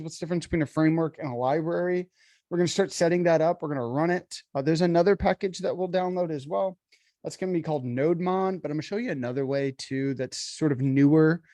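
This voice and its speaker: Man, bootcamp instructor, congested and nasal; easygoing, rambling lecture delivery, warm tone. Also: fairly high pitch, no room sound, studio quality. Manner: chatty, filler-heavy, self-correcting. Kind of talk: casual online lecture.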